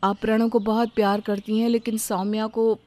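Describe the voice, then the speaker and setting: A woman voicing a calm, reasonable young daughter-in-law who speaks gently to her mother-in-law. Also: fairly high pitch, brisk, close to an everyday voice.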